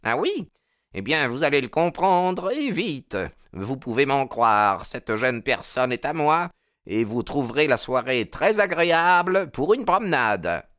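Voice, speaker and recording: A man's voice: medium pitch, big pitch swings, a little slow, a bit bright, no echo, clean studio sound, pitched above the performer's own voice. A man voicing an arrogant, jealous young rival in an audiobook, brusque, sneering and hot-tempered. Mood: brusque, threatening.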